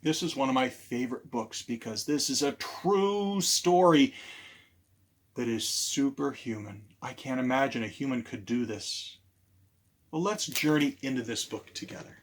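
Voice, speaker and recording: A man hosting a library storytime for children, animated and speaking directly to his listeners as he presents and tells stories. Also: medium pitch, a little slow. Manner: animated, repeats key phrases, addresses listeners directly.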